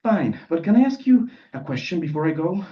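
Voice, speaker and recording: A man's voice: on the high side, big pitch swings, brisk, voice pushed up. A man voicing a mocking, pedantic young male protagonist in a live story reading, pompous and needling. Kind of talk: character dialogue in a live literary reading. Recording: dull sound, distant microphone.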